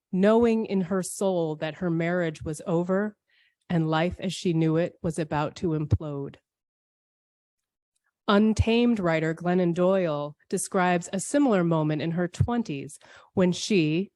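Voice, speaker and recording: Female church speaker, lowish voice, unhurried scripted reflection with poetic, deliberate phrasing. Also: a little mellow, no echo, clean studio sound.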